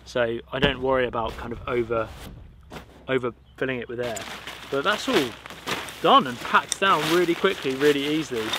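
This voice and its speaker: A man in his thirties from the UK, chatting casually and excitedly to camera while setting up gear outdoors. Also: mid-range voice, normal speed. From United Kingdom. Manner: upbeat, chatty, slangy. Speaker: outdoor vlogger. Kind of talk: casual show-and-tell to camera. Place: windy pebble beach outdoors. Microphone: camera mic outdoors. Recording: wind noise on outdoor mic. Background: wind and shore ambience.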